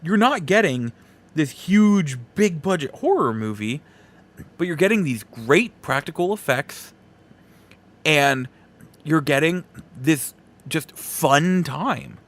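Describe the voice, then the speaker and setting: A man's voice: a little high, animated, slow pace. A man hosting a podcast, talking casually and informally through a solo movie review with rambling asides. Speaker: podcast host.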